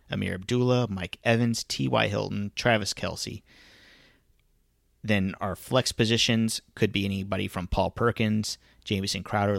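Low gravelly guy chatting on a podcast, laid back, dry room. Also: a bit bright, dry recording. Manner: laid-back, laughs easily, reads lists of names. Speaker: podcast co-host. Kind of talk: casual podcast chat.

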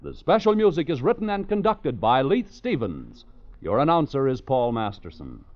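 A man's voice: medium pitch, sing-song, moderate pace. A man announcing for 1940s network radio with a formal, polished, promotional scripted delivery. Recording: muffled old 1940s radio recording.

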